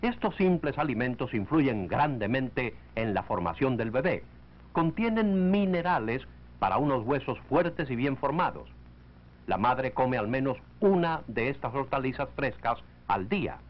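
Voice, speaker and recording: A man reading scripted narration for a 1940s educational film, in a measured, didactic way. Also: mid-range voice, very animated. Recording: dull lo-fi 1940s film soundtrack.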